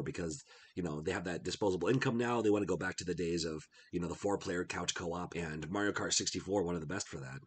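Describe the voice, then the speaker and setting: A middle-aged man with a congested cold voice, chatting casually as he browses a retro game store online. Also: deep voice. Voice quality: husky. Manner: chatty, musing aloud while browsing. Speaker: retro game channel host. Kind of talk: casual screen-share commentary.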